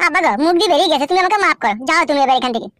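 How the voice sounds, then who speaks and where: A performer plays a heartbroken male villager in a comedy cartoon. The voice is high, quick and dry, full of gloomy, irritable complaint. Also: expressive, a bit bright, no echo. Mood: miserable. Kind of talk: amateur Bengali comedy cartoon dialogue.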